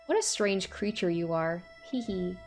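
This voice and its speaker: A woman's voice: on the low side, expressive, a little slow, faint music behind. A woman voicing a cruel young woman who mockingly taunts her captive in a dramatic story reading. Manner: sweetly mocking, sadistic.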